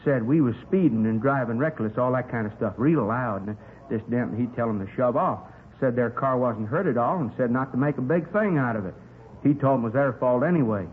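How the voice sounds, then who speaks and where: A man's voice: medium pitch, fairly quick, close to an everyday voice. A man with an American accent playing a folksy, talkative working-man witness in a 1950s radio drama, rambling earnestly in colloquial speech. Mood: earnest recounting. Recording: tinny, hissy 1950s radio recording.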